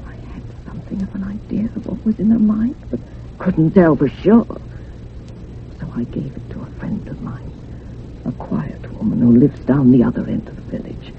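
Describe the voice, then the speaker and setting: Housekeeper role: rustic widow, evasive yet respectful, animated intonation, tinny narrow-band radio drama sound. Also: female voice, medium pitch, creaky. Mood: confiding, grave. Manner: plain rustic phrasing, evasive. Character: guarded village housekeeper, deferential, evasive widow. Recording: noisy, phone-like old radio sound.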